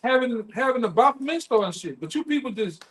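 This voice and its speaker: A man's voice: extremely high pitch, animated, brisk. A man in casual, unscripted talk, colloquial and prone to repeating his phrases. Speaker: member of a street preaching group.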